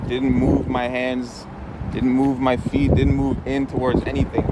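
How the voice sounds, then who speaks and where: A man's voice: mid-range voice, monotone, unhurried. A man firmly insisting on his rights while talking with police outside. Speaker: citizen filming police. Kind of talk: confrontational street conversation. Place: outdoors by a street. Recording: loud outdoor traffic and wind noise.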